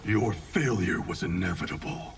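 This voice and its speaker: An actor playing a proud, stern ninja grandmaster in a game cinematic, curt, cold and commanding. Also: male voice, sits low, normal speed, close to an everyday voice. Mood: defiant. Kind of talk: video game cinematic acting. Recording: distant sound under very loud music.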